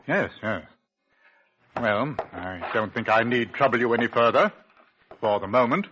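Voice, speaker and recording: An actor playing a formal, courteous police inspector in a 1940s radio drama, deliberate and politely insistent. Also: male voice, mid-range voice, lively intonation, bright, forceful tone, a little hiss or hum. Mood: conciliatory. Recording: old lo-fi 1940s radio recording.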